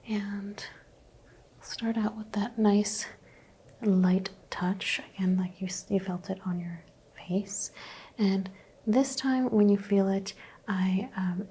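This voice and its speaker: A woman whispering gently as a doctor in an ASMR roleplay, guiding a patient through a skin exam. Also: mid-range voice, a little hiss or hum. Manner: soft whispering, gentle step-by-step instructions. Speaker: ASMR creator playing a doctor.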